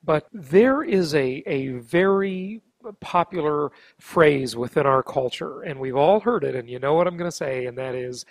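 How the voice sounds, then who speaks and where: A man with a husky voice hosting a business podcast, introducing and interviewing. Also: a little high, animated, measured pace, no echo.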